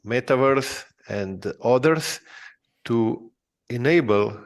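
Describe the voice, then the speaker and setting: A man narrating a screen-share demo, slow and halting with many fillers. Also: medium pitch. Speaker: presenter.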